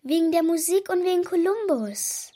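A woman's voice: extremely high pitch, lively intonation, moderate pace, mellow, dry recording. A woman playing an affectionate, slightly anxious young girl in a German radio play for children, speaking in an earnest, childlike way. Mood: hopeful.

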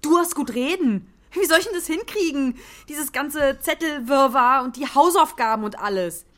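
A woman's voice: high-pitched, lively intonation, normal speed, fairly bright tone. An actress playing an irritable, defensive working mother, a hairdresser, with a snappy, sarcastic manner in a children's radio play. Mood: irritated, defensive. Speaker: voice actor in a children's radio drama. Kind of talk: naturalistic German radio play acting.

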